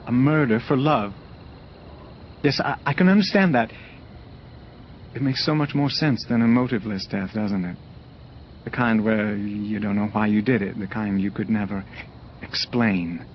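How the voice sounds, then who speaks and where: A man's voice: lowish voice, normal speed, long pauses, close to an everyday voice. An actor playing an uneasy, skeptical young man, musing casually and hesitantly. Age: young adult. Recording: dull lo-fi audio, faint background noise.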